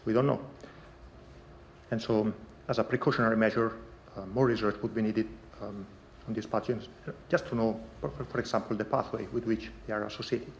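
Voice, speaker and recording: A man presenting a scientific conference talk in a lecture hall, measured and pausing often with ums. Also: on the low side, fairly even intonation, lo-fi sound, a little hiss or hum. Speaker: conference speaker.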